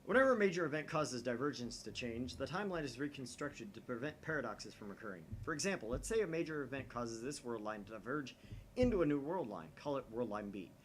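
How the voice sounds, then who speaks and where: A man's voice: mid-range voice, expressive, natural voice, rough recording. Man voicing an earnest, grave time traveler. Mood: grave, explanatory. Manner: measured, expository. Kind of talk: visual novel read aloud. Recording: faint background noise.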